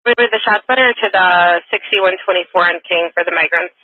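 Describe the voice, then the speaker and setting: A man's voice: high voice, brisk, no room sound. A man working as a police dispatcher, reading out a call in clipped, routine radio phrasing. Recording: tinny police radio transmission.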